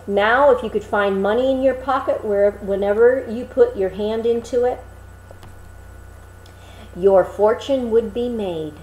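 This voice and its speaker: A woman's voice: a little high, unhurried, darkish tone, echoey room, close to an everyday voice, low-quality recording. A woman voicing a sly, self-satisfied male tradesman in a storybook tale, boastful and persuasive. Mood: wheedling. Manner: smooth-talking, persuasive, boastful.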